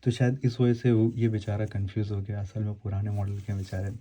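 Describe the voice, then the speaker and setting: A man's voice: on the low side, little pitch movement, brisk, warm tone. A man hosting a casual unboxing and review video, explaining to viewers in a rambling way and often repeating and correcting himself. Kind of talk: casual explanatory product demo, speaking to viewers.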